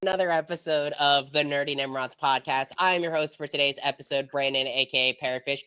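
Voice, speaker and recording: A man's voice: a little high, steady tone, fairly quick, sharp tone, clear, resonant tone, dry recording. A young adult American man hosting a podcast in casual chat, his voice congested from being sick. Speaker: podcast host.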